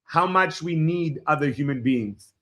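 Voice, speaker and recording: A man in his forties from Israel, a Hebrew speaker, telling his life story as a podcast guest in a reflective, halting way. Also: a little high, bright, forceful tone. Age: 40s. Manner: reflective, halting starts with frequent fillers. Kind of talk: personal storytelling in an interview.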